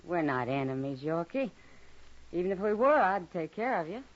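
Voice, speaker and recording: Casting: Old West townswoman, caring yet firm, mid-low womanly voice, dull vintage radio sound. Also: sing-song, normal speed. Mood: gentle. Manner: tender, soothing with a child. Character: caring, gently firm woman of a frontier town. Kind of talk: 1950s radio western acting.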